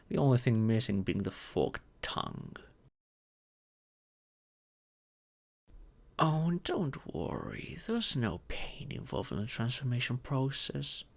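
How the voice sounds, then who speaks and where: A gentle old dragon in humanoid form, acted by a man, speaks slowly with a creaky edge. The pitch swings widely through formal, patient explanations, as a doting parent would give in an audio roleplay. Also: heavily acted. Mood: gentle, reassuring. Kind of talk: scripted audio roleplay monologue.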